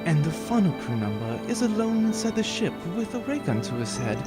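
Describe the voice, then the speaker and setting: A composed, teasing female gunslinger sneaking through a sci-fi audio drama, played by a woman. She speaks precisely and sardonically, with lively shifts in pitch. Music sits clearly behind her. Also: brisk. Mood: coolly teasing. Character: cool, teasing female gunslinging space infiltrator.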